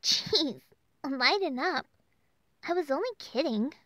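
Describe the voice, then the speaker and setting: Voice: sits high, lively intonation, slow, noisy background. An actor voicing a cheeky, teasing young minion in an audio drama. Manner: playful, teasing.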